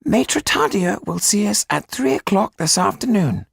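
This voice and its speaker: A man voicing a sharp, tetchy, imperious schemer in a historical audiobook, in a creaky falsetto. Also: lively intonation, moderate pace, dry recording, clean studio sound, full character voice. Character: sharp, tetchy Frenchman scheming in a revolutionary cafe.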